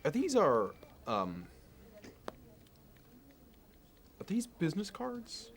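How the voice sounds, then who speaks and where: A man playing a polished, courteous and meticulous finance executive in an ASMR roleplay, speaking in a measured, halting and formal way. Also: sits high, wildly swooping pitch, a little hiss or hum, heavily acted. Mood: intrigued, courteous. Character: polished, courteous, obsessively appraising male Manhattan finance executive.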